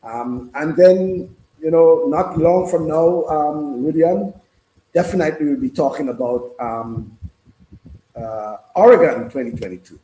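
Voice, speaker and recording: A man hosting a casual live sports discussion, speaking haltingly and repeating himself. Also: a little high, dark tone. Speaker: sports radio host. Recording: roomy, noisy room sound.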